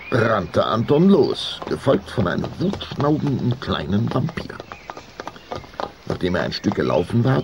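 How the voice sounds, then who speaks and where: A man narrating a German children's radio play, measured and expressive in scripted storytelling style. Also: low voice, creaky, noisy background, heavily acted.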